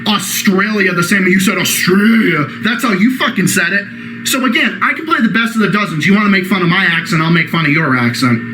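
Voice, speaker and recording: A man with a Boston accent and a high-pitched voice tears into someone in a profane rant. He shouts and mocks, and faint background noise sits under his voice. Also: lively intonation, a little fast. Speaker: YouTube ranter. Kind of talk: angry reaction rant.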